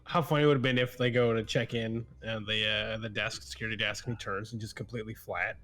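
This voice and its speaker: A man's voice: on the low side, steady tone, fast talker, fairly bright tone. A man in casual podcast conversation, with a wry, joking way of talking. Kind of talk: casual chat.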